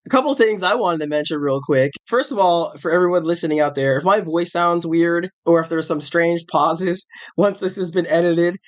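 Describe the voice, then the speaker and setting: A man's voice: a little high, normal speed, hardly any pauses. A man in his forties hosting a podcast, chatting casually and rambling with restarts, a little sick with a cough. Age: 40s. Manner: rambling, self-correcting, chatty. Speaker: podcast host.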